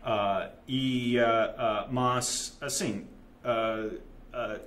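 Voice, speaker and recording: A man's voice: mid-range voice, fairly even intonation, extremely slow, a bit bright. Texan guy speaking portuguese, stops and restarts a lot, bit of noise behind. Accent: Texan-American speaking Portuguese. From United States, grew up in Dallas. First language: English. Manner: hesitant, many fillers and restarts. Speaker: guest, investment manager. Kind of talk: casual interview.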